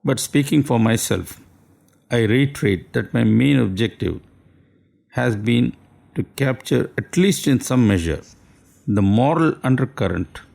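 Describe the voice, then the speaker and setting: Gravelly man. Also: on the low side, darkish tone. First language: Tamil. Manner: formal, measured, courteous. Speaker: radio speaker. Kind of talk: scripted radio talk.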